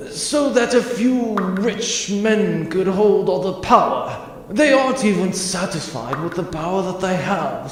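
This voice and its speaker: A man playing a grave veteran commodore turned rebel in a sci-fi audio drama, speaking formally and deliberately. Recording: cavernous echo, rumbling background noise.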